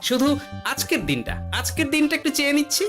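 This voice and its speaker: Cartoon court jester, clever and teasing, very animated delivery, music underneath. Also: sits high, fast talker. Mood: reassuring, firm. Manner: persuasive, wry, expressive. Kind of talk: cartoon voice acting.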